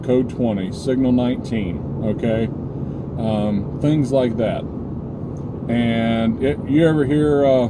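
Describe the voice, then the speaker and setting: A man with a mid-range voice speaks informally, like a vlog host teaching a practical lesson. He explains things deliberately, point by point, and often checks that listeners follow. A loud, constant hum of background noise sits behind his voice throughout. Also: mid-range voice. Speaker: preparedness video host, former law enforcement officer. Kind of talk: informal instructional vlog talk.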